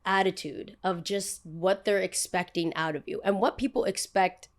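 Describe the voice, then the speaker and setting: Animated young woman with a Jersey accent. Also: medium pitch. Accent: New Jersey. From New Jersey. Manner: animated, emphatic storytelling with quick asides. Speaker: podcast host. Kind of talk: casual chat.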